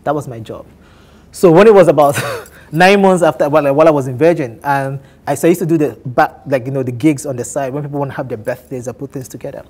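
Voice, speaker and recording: A man in his thirties from Nigeria with an Igbo accent, telling his life story candidly on a talk show, animated and often laughing. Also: mid-range voice, quick pace, husky. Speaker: guest on a men's talk show.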